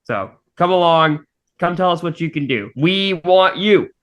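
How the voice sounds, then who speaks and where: Higher-voiced man in casual chat. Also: lively intonation, measured pace, a bit bright, muffled recording. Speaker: fandub voice actor on a team podcast.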